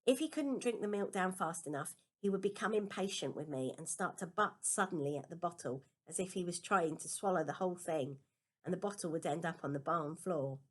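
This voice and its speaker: A woman's voice: fairly low pitch, a little fast. A woman reading a children's novel aloud as a first-person storybook narration. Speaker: storybook reader.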